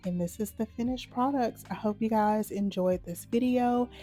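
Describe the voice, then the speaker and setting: A woman hosting a nail art channel, slightly hoarse from a cold, talking viewers through a manicure step by step in a relaxed, matter-of-fact way. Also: a little high, normal speed. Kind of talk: casual step-by-step tutorial narration.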